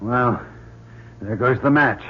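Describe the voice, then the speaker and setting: A man playing a steady, protective astronaut commander with clipped, earnest speech in an old radio drama. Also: mid-range voice, slow. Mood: tense calm. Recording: muffled, noisy old radio recording.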